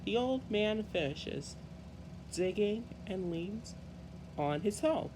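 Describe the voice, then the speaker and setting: A man reading a children's story aloud in an expressive, deliberate way with halting phrasing. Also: sits high, background noise. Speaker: storybook reader.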